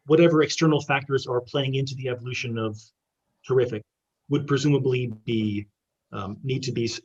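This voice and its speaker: Male researcher fielding questions, rapid and tentative, slightly rough unclear voice. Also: medium pitch, darkish tone, muffled recording. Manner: tentative, hesitant, self-correcting. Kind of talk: academic question-and-answer.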